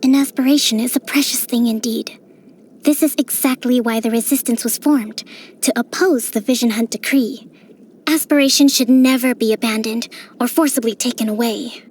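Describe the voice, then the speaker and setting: A woman voicing a gentle young priestess and strategist in a video game, speaking formally and thoughtfully in a soft, measured way. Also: on the high side, steady tone, slight background noise, natural voice. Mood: composed, earnest. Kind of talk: scripted video game character voice lines. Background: music.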